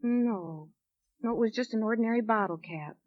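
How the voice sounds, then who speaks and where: An actress playing a polite, slightly flustered hospital nurse, hesitant and deferential, in a 1950s radio drama. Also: female voice, a little high, moderate pace, dry recording, natural voice. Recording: dull lo-fi 1950s radio recording.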